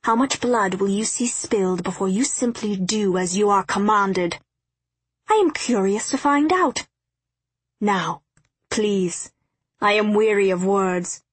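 A woman's voice: mid-range voice, takes it slowly, husky, dry recording. A woman voicing a cruel, pompous male underworld lord in a storybook, with theatrical, mocking, formal speech. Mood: gloating menace.

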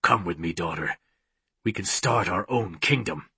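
A man voicing a cold, creepy male hybrid villain, a menacing and deliberate father figure in an audiobook. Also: sits low, expressive, pushed and bright, breathy edge, no room sound, voice pushed down, heavily acted.

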